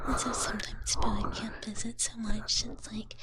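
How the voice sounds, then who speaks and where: A woman voicing a sweet, ditzy dragon big sister for live ASMR, whispering softly and breathily up close in cutesy valley-girl talk. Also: mid-range voice, flat delivery, normal speed, natural voice. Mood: soft, affectionate. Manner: cutesy valley-girl filler-laden talk in third person. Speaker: streamer. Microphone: close ASMR microphone.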